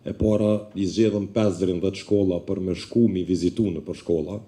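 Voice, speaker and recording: A man answers a question at a club seminar, plain spoken with a few fillers. His voice is very deep and extremely dark. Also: fairly even intonation, quick pace. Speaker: basketball club representative.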